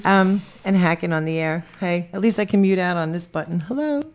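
A woman from New with a deep voice, a spiritual medium who talks frankly and to the point. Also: a little fast, a little mellow. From New York. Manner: straight-talking, frank. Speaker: spiritual medium, radio guest.

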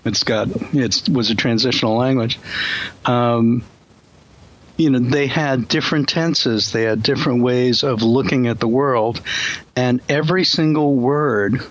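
A man's voice: mid-range voice, unhurried, highs cut off. A man hosting a casual discussion, speaking thoughtfully with frequent ums and you-knows. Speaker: host.

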